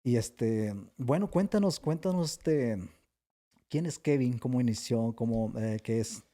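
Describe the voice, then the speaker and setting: A man's voice: medium pitch, a little slow, dark tone. A Mexican man with a Mexican accent hosting a podcast, chatting in a friendly way with restarts and "este" fillers. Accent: Mexican Spanish. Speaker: podcast host. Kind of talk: casual podcast interview.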